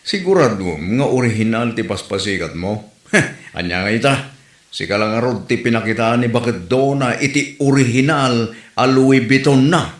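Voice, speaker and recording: A man's voice: mid-range voice, moderate pace, close to an everyday voice. A man with an Ilocano accent playing a teasing, mocking scoffer in a comedy drama, needling and chuckling. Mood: mocking, amused. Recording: roomy, echoing sound.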